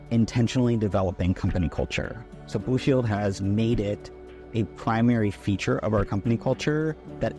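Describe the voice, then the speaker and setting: A man speaking in a deliberate, earnest way in an interview testimonial. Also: on the low side. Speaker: employee.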